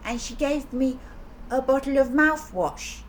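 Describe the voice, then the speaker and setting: Bold, plaintive small child, played by a woman, very high pitch, blurted storybook lines, faint noise. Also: a little slow. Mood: piping up. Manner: blurts short childish additions. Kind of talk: children's audiobook character voice. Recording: audible background noise.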